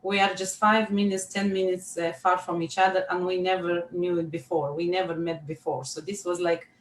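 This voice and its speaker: A woman's voice: lowish voice, flat delivery, normal speed. A woman chatting enthusiastically on a video call in non-native English, repeating phrases. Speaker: guest. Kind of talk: casual chat on a video call. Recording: echoey room, faint background noise.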